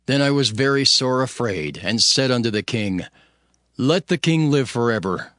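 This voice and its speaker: A man's voice: mid-range voice, animated, normal speed, fairly bright tone, dry recording, studio quality. A man giving a dramatic scripted narration of scripture, solemn and declamatory. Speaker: Bible narrator, voicing Nehemiah. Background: music.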